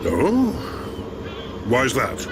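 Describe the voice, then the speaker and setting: An actor playing a genial, knowing old machine-race chief in a video game. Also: male voice, mid-range voice, wildly swooping pitch, very slow, background noise, heavily acted. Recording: loud game ambience behind dialogue.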